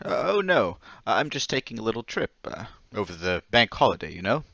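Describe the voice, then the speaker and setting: An actor playing a timid, eccentric war-veteran lodger in an old-time radio drama, hesitant and stammering with nervous manners. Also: male voice, fairly low pitch, very animated, fairly quick, vocal fry, pushed and bright, highs cut off, full character voice. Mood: flustered, secretive.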